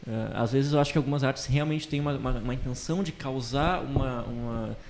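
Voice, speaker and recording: A man's voice: medium pitch, fairly quick, darkish tone, slight background noise. A man in a casual podcast discussion, thinking aloud and seeking agreement with tag questions. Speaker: podcast co-host.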